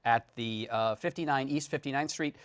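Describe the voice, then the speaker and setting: A man's voice: medium pitch, moderate pace, no echo. A man interviewing guests casually as the host of a studio talk show. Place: television studio.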